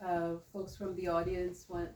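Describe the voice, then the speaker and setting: A woman's voice: on the low side, monotone, measured pace, darkish tone. A woman moderating a writer's talk at a bookshop, giving brief encouraging replies. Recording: roomy echo, distant mic, some noise.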